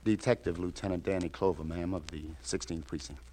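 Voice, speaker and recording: An actor playing an awkward, kindly police detective lieutenant in a 1950s radio crime drama, speaking hesitantly. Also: male voice, deep voice, steady tone, brisk, warm tone. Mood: awkward, reluctant. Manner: hesitant, stammering.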